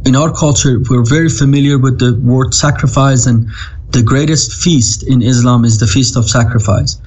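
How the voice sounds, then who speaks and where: A man in his thirties from Iran with an Iranian accent, a native Farsi speaker, telling his life story earnestly over a video call, halting with frequent restarts. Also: medium pitch, steady tone, a little mellow, husky, poor audio. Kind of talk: personal testimony.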